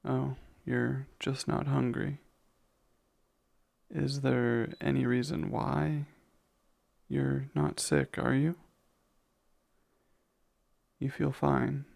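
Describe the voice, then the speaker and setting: A man playing a gentle, protective vampire caretaker in a soft ASMR roleplay, soothing and a little hesitant, with formal wording. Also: mid-range voice, little pitch movement, extremely slow, vocal fry, pauses often, full character voice. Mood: tender concern.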